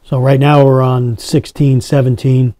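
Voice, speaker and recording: A man's voice: mid-range voice, level tone, unhurried, mellow, no room sound. A man giving a casual hands-on product demonstration as a reviewer, talking to his viewers. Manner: addresses viewers as guys.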